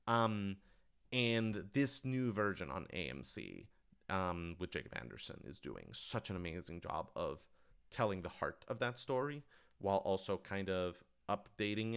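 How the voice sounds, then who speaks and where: A man's voice: lowish voice, fairly bright tone, smooth. A man talking casually on a livestream Q&A, thinking aloud in a rambling, enthusiastic way. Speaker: writer-director of audio dramas answering questions.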